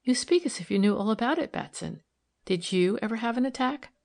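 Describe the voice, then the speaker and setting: A composed, shrewd woman from a novel, as a woman narrator reads her. She speaks in a light, friendly, careless way, with some creak in the voice. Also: a little high, lively intonation, a little fast, a little mellow, pitched above the performer's own voice. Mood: amused, confiding.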